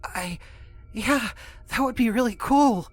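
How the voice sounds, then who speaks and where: A man's voice: extremely high pitch, slow pace, faint music behind. A man playing an innocent, wide-eyed young child witch in an audio drama, with hesitant, simple speech. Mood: hopeful, timid.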